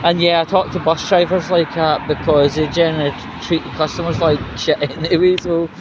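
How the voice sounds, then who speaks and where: A man's voice: on the high side, steady tone. Scottish man rambling over street noise. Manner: sweary, irreverent, halting delivery with pauses. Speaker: vlogger. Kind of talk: rambling casual walking vlog, chatty. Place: outdoors on a city street. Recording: noisy outdoor street with traffic.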